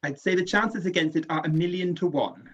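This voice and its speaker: An actor playing a measured, skeptical astronomer professor who waves off wild theories in a radio drama. His voice is husky and a little breathy. The sound is dull and lo-fi, as if sent over a home connection. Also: on the high side, fairly bright tone. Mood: assured. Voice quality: measured, dismissive. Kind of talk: amateur radio play acting.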